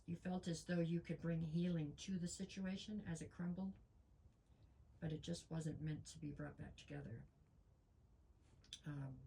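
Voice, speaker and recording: A woman's voice: on the low side, low-quality recording. A woman reading tarot cards in a chatty way, losing her voice and with a stuffy nose. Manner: chatty, frequent ums, talks straight to viewers. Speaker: tarot reader.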